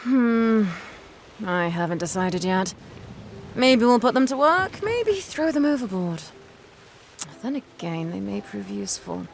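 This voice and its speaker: Woman playing an assertive, lively-voiced pirate captain. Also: medium pitch, a little slow, gravelly, slight background noise. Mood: musing, teasing. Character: assertive, reasonably kind female pirate captain.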